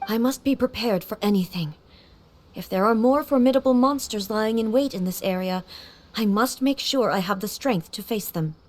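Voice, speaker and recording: A woman's voice: a little high, normal speed, slight background noise, natural voice. A woman voicing a solemn, commanding warrior ruler in a video game, speaking in a formal, stately manner. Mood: calm, formal.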